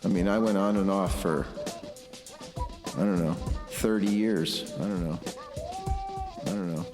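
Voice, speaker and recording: Middle-aged man, deliberate and halting podcast monologue, lower register, heavy echo over a music bed. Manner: halting, deliberate, repeats phrases for emphasis. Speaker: podcast host. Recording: echoey long reverb, music underneath.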